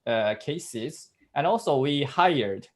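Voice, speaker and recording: Halting Japanese-accented man, casual chat. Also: medium pitch, lively intonation. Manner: halting, frequent fillers, laughs easily. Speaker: co-host, patent professional. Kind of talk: casual chat in a second language.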